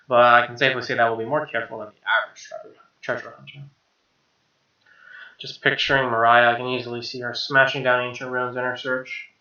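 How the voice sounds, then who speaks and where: A man's voice: mid-range voice, little pitch movement, brisk, echoey room, muffled recording. A man reading visual novel narration aloud as a let's player, with offhand asides. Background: soft game music with guitar.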